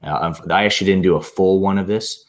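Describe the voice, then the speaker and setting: A man's voice: low-pitched, fast talker. A man recovering from laryngitis, giving a casual, rambling tutorial over a video call with many fillers and restarts. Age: adult with a young son. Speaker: presenter demonstrating software. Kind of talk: casual screen-share tutorial.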